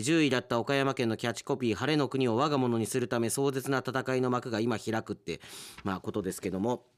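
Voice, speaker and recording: A man hosting a radio show in a chatty, polite style with playful asides, as a trained announcer speaking conversationally. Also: mid-range voice.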